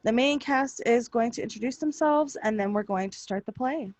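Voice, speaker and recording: A woman's voice: fairly high pitch, normal speed, a little mellow, dry recording. A woman podcast host reading a scripted introduction in a casual, irreverent way.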